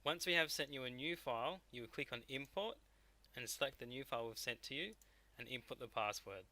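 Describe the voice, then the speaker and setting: A man narrating a software tutorial step by step as a technical instructor. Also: mid-range voice, expressive, brisk.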